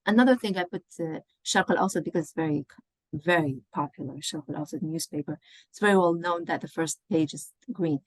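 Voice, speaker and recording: Low-pitched woman teaching, dry close sound. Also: brisk, dry recording, poor audio. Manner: conversational, often checks in with "okay" and "you know". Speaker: Arabic language professor. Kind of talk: informal online teacher-training lecture. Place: indoors, online workshop over video call. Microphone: video call microphone.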